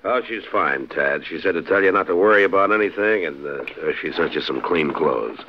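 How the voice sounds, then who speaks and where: An extremely deep, husky-voiced western marshal, a man who speaks with steady authority and an American accent. The sound is a muffled vintage radio drama. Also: a little fast. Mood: reassuring. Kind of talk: 1950s radio western acting. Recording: muffled 1950s radio recording.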